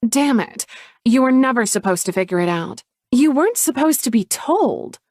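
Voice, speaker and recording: A woman's voice: a little high, lively intonation, moderate pace, a little mellow, no room sound, clean studio sound, voice pushed up. A woman reading a novel aloud, voicing a scornful, defiant adult sister in a raised, sneering voice. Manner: sneering, dismissive.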